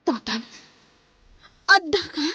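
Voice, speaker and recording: A woman playing a gentle, forgiving young jailed rebel in an Ilocano radio drama, with an Ilocano Filipino accent and earnest delivery. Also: high-pitched, animated, slow, no room sound, dull audio, full character voice. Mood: moved.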